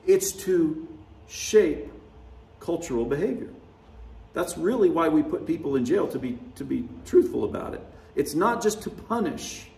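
Man, pastor, gravelly, conversational sermon, echoey church hall, distant mic. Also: a little high, animated, moderate pace, dark tone. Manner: conversational, wry, chuckles at own remarks. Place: church sanctuary.

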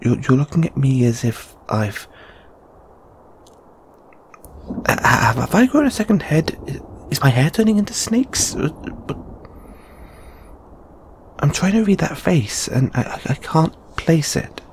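A man acts out a nervous, lovesick lamia flatmate in an intimate roleplay. He talks slowly and haltingly, stammering and sighing as he goes. His voice is of medium pitch, with clear background noise behind it. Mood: flustered, confused. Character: shy, anxious, lovelorn young male lamia flatmate. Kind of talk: intimate audio roleplay monologue.

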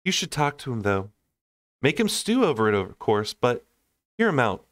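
A man's voice: medium pitch, big pitch swings, moderate pace, a bit bright, no echo, studio quality, pitched above the performer's own voice. Man voicing a sassy, blunt young woman from a storybook. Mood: frank, teasing.

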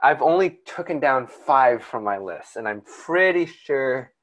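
Calm-voiced young man chatting. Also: medium pitch, measured pace. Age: young adult. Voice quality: calm, soothing voice. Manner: rambling, with many restarts and fillers. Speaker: podcast co-host. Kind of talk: casual chat.

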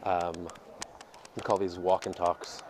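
A man in his thirties talks in a fairly low voice while he walks down a city street. He speaks candidly and reflectively, with plenty of hesitations. His earphone mic also picks up clear street noise and passing traffic. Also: level tone, slow. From United States. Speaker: dance teacher and vlogger. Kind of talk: casual walking vlog monologue. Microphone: earphone headset mic, phone at arm's length. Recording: noisy outdoor street recording. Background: street ambience and passing vehicles.

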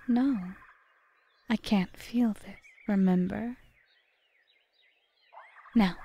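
A woman playing a cunning, possessive, seductive witch in an audio roleplay, speaking in a formal, measured, softly whispery way. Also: medium pitch. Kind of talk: ASMR audio roleplay, scripted monologue.

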